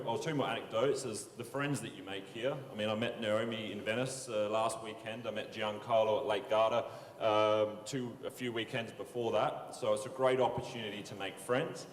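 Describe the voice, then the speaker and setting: A man's voice: fairly low pitch, moderate pace, few pauses, a little hiss or hum. Young male panelist, easygoing storyteller, echoey room. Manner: relaxed, anecdotal, with fillers. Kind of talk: conference panel anecdotes. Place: large conference hall. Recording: echoey conference hall, long reverb.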